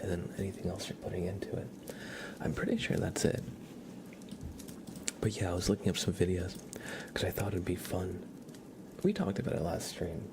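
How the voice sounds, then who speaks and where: Male ASMR streamer, low and gravelly, soft half-whispered chat, halting delivery, noticeable background noise. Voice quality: soft, breathy, often whispered. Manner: slow, halting, rambling. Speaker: ASMR livestream host. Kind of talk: ASMR, casual chat with viewers.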